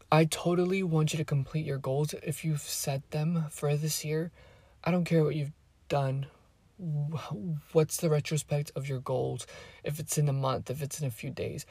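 Rambling eighteen-year-old girl, gravelly. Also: sits low, warm tone, no room sound. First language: Spanish. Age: teens. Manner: rambling, chatty, drifts off topic. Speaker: vlogger. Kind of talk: casual chat to camera.

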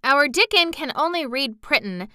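A woman's voice: high voice, animated, normal speed, sharp tone, no echo, studio quality, pitched above the performer's own voice. A woman doing a chatty housemaid. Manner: broad dialect, eager and chatty. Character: cheerful young Yorkshire housemaid, homely and talkative.

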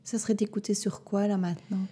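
A woman hosting a podcast interview, asking calm, probing questions in a soft, attentive way. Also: medium pitch, fast, slightly rough. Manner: calm, soft and attentive, sometimes near a whisper. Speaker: podcast host.

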